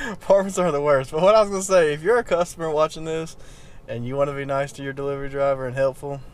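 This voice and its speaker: A man's voice: a little high, quick pace. Young guy, casual car vlog to a dash cam, rambling and easygoing, with traffic noise behind him. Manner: laid-back, rambling, chuckles often, says "bro". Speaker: delivery driver vlogger. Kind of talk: casual vlog talk to camera. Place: parked car, inside. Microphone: dashboard camera.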